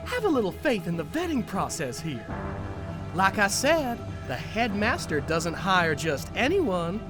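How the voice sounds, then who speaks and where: A woman's voice: mid-range voice, sing-song, measured pace, music in the background. A woman playing a cheerful, eccentric, earnest teacher in a comic fan dub, theatrical and coaxing. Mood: firm, coaxing. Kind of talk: animated comic fandub acting.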